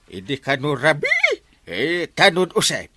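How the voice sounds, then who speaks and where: An actor playing a slow-witted, jovial village captain in a comedy radio drama, hesitant and bumbling, with a northern Philippine Ilocano accent. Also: male voice, fairly high pitch, big pitch swings, sharp tone, no echo, full character voice.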